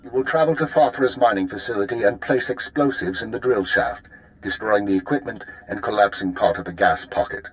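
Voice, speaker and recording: An actor playing a composed, exacting spymaster who gives measured, precise mission briefings in a video game. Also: male voice, lowish voice, natural voice, poor audio. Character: composed male intelligence handler, formal and exacting.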